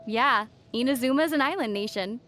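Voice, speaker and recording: A woman playing a young female adventurer in a video game, gentle and playfully wry in manner. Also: a little high, moderate pace, close to an everyday voice. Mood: calm, thoughtful. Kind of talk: game voice acting. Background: faint background music.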